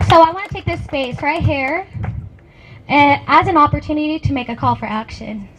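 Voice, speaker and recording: A woman's voice: high-pitched, normal speed, a bit bright. A woman student speaking at an outdoor campus rally, rousing and building on repeated phrases. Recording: dull lo-fi outdoor recording, faint noise.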